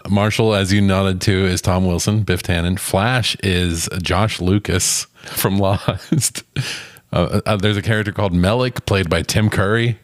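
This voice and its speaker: A man's voice: deep voice, fairly even intonation, unhurried, dry recording, clean studio sound. A man co-hosting a casual movie discussion, swearing freely and often chuckling. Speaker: podcast co-host reviewing films.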